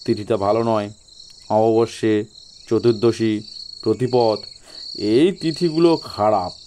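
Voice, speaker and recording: A man playing a sly local gentleman in an audio story, giving ominous ghost warnings with feigned reluctance. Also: on the low side, slow pace, slight background noise, pitched below the performer's own voice.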